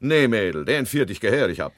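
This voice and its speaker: An impetuous gentleman lover in a radio play, deep-ish voice swinging up and down, dry sound. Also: sing-song, fast, dry recording. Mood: agitated, indignant. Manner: emphatic, blustering, scornful. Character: impetuous, possessive gentleman in love, blustering. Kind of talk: German radio play acting.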